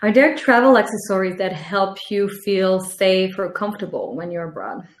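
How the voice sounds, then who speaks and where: Webinar host in an echoey room. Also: female voice, medium pitch, lively intonation, normal speed. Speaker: webinar host, foundation coordinator. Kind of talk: hosting a webinar. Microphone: video call. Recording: echoey room on a video call.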